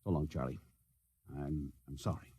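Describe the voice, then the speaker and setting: An actor playing a gruff, commanding starship captain with a soft heart, in 1950s radio drama style. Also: male voice, a bass voice, fairly even intonation, normal speed, heavy background noise. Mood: regretful, gentle.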